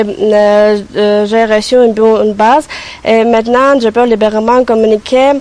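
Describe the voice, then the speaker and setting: Halting eighteen-year-old woman, fairly high voice. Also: a little mellow. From Armenia. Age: teens. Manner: halting, many fillers. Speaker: student. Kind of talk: prepared testimonial.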